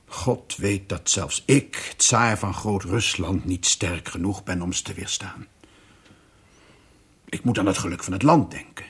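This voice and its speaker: An actor playing a troubled, solemn Russian tsar in a radio drama, speaking haltingly with a husky voice. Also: male voice, low-pitched, fairly bright tone, full character voice. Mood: regretful, grave.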